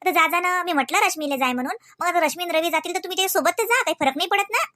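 Cartoon mom, woman performer: piercingly high, fast-talking, persuasive nag, rural Vidarbha accent. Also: bright, forceful tone, dry recording, studio quality. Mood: insistent. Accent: rural Marathi of Vidarbha. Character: nagging, devout young mother of twins. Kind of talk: comedy cartoon dialogue.

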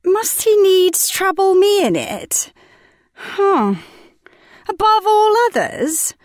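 Woman acting very high-pitched indignant lord, self-important, theatrical, animated, slow delivery, Shakespeare reading. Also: no echo, studio quality, full character voice. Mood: affronted. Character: petulant, self-important Athenian lord, offended false friend. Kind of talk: unrehearsed Shakespeare play reading.

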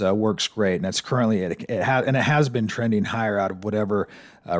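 A man's voice: mid-range voice, dry recording. A man hosting a stock analysis lesson, talking casually through charts on screen, with frequent fillers and restarts.

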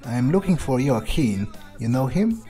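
A man narrates a game in character, thinking out loud in hesitant musings. His voice is dark and mellow, with faint music playing behind him. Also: mid-range voice, big pitch swings, a little slow. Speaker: player of a video game playthrough, speaking in character. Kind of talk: in-character roleplay gameplay narration, thinking aloud.

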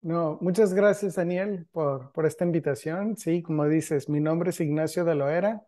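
A man in his forties from Guadalajara talks as a guest in an interview over a video call, wearing earphones in a home room. His voice sits a little high and mellow. He answers briefly and at ease. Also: normal speed. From Guadalajara, Mexico.

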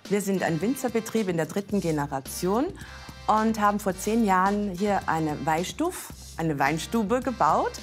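A middle-aged woman with a slightly low voice explains her restaurant with evident pride. She speaks into a lapel mic. Faint music sits behind her. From Austria. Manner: proud, eager to explain. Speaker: restaurant owner.

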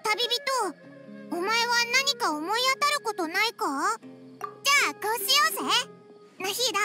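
A woman playing a small, excitable childlike fairy companion in an anime game, brash and chirpy with boyish informal speech. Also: normal speed. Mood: eager. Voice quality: squeaky, childlike. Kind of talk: anime video game acting. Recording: music behind the voice.

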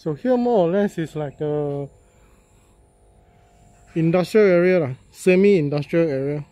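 A man, a real estate agent walking through a property and talking casually, halting and repeating himself with many fillers as he goes. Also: fairly high pitch, warm tone. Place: walking through a house and yard, in and out of doors.

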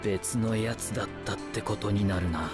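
A man voicing a serious, composed young ninja commander in an anime game, stern and deliberate. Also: fairly low pitch, lightly acted. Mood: serious, analytical. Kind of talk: Japanese anime game voice acting. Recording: background music bed.

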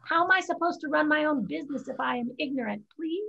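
A woman's voice: high-pitched, fast talker, gravelly, bright, forceful tone, exaggerated voice. A woman reading the part of a proud, blunt fifteen-year-old Chinese girl in a staged play reading over a video call. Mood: proud, bitter. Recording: muffled video-call audio.

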